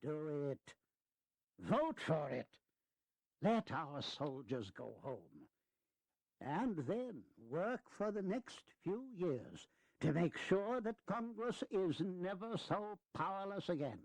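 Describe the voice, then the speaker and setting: A man's voice: sits high, big pitch swings, takes it slowly, no room sound, full character voice. An actor playing a shrewd, teasing eighty-year-old statesman in a historical audio drama, lecturing in a folksy, wry way. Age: elderly.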